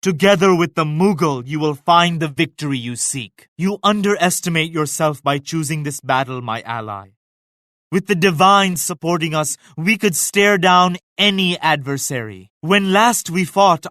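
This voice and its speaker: An actor voicing a devout, dignified Mughal emperor in a video game, speaking in a solemn, formal, measured manner. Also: male voice, a little high, animated, pushed and bright, dry recording, clean studio sound. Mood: warm, respectful. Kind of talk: scripted video game character lines.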